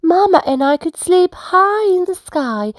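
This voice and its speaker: A woman's voice: very high-pitched, very animated, measured pace, dry recording, voice pushed up, heavily acted. Woman doing an eager, piping little girl sloth. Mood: giggly, delighted. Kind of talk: children's storybook read-aloud.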